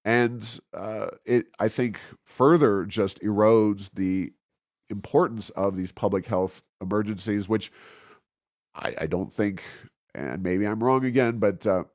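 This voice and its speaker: A man with a fairly low voice hosts an informal political podcast. He speaks haltingly, often stammering as he works through his commentary. The sound is dry, with very little room in it. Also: no echo. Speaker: podcast host.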